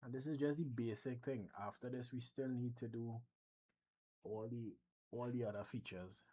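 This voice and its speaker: A Trinidadian man with a Trinidadian accent, talking casually through a software demo and thinking aloud, often restarting his sentences. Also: mid-range voice, steady tone, normal speed, low-quality recording. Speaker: software developer vlogger. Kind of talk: casual screen-share demo.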